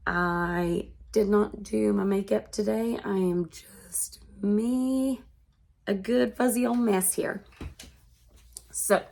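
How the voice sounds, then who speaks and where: Hoarse, congested woman speaking slowly. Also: medium pitch, warm tone. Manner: slow, earnest and measured. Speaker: vlogger. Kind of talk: personal vlog monologue.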